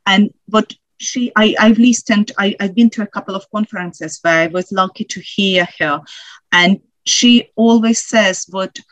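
A woman in her forties from Ukraine, speaking English with a Ukrainian accent as a guest expert in a panel discussion. She talks thoughtfully and pauses often with fillers. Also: medium pitch, fairly bright tone, no room sound, highs cut off. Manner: thoughtful, hesitant with many fillers. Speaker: guest researcher.

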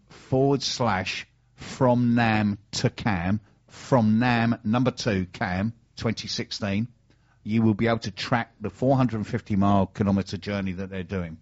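A middle-aged British man hosts a radio show in a fairly low voice. He moves between casual chat and sponsor messages. The recording sounds a little dull and band-limited. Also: measured pace.

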